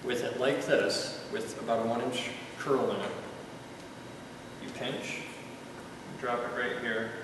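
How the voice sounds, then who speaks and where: A man's voice: mid-range voice, level tone, takes it slowly, long pauses. A man giving a casual, step-by-step hands-on demonstration in an informal, joking way. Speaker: instructor, video host. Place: indoor room. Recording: very echoey room, distant mic, noisy.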